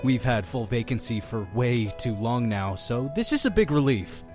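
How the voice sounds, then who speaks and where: Mysterious inn's keeper, male, played by an actor: chatty, theatrical, highly animated delivery for audio drama. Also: moderate pace, music in the background, natural voice. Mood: cheery, welcoming. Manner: effusive, talking over his guest.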